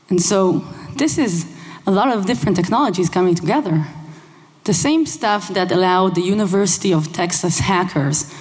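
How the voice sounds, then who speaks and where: A young woman whose first language is Hebrew, giving a lively conference talk on a headset mic in a large hall, engaging the audience with questions. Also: mid-range voice. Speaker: conference speaker. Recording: long hall reverb.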